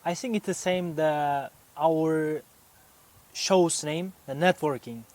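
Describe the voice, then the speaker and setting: A man's voice: a little high, slow, a little mellow. A man interviewing a visiting professor, asking questions politely and encouraging him along. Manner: polite, encouraging, frequent backchannel. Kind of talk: university interview.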